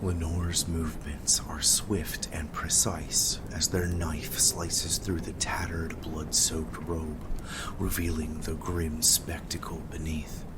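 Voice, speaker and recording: A man's voice: a bass voice, flat delivery, slow, very noisy. A man narrating scripted gothic horror with grave, ornate storytelling. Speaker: narrator, game master.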